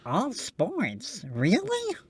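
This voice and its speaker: Man as high, wide-eyed young son-in-law. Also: wildly swooping pitch, drawn-out words, full character voice. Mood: eager, naive. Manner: gullible, boyish, eager. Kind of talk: scripted comedy sketch.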